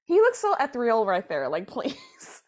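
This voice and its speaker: High, bouncy-voiced woman, unhurried. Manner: excitable, gasping and laughing. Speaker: co-host of a reaction channel. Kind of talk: casual chat reacting to music.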